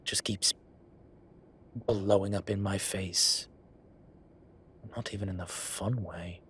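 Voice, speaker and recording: Guy doing an old demon professor incubus for audio rp, really slow talker, dry n fed up, kinda flustered, noisy background. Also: low voice, creaky, pushed and bright, heavily acted. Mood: exasperated, teasing. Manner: halting, sighing, dry, slightly flustered. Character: ancient male incubus demon professor, bookish, exasperated, dryly teasing. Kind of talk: audio roleplay acting, intimate one-sided dialogue.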